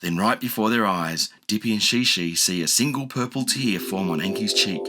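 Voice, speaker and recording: A man narrating a children's audiobook in a gentle, expressive storytelling manner. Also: lowish voice, moderate pace, over loud music. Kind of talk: children's audiobook read-aloud.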